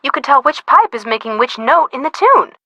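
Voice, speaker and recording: A bubbly, girlish game sidekick, high and bright, with pitch that swoops around freely. She is a boy-crazy, gushing teenage girl with a playful manner, captured close and dry. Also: sing-song, a little fast, dry recording, muffled recording, heavily acted. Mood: friendly, helpful. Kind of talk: video game voice acting.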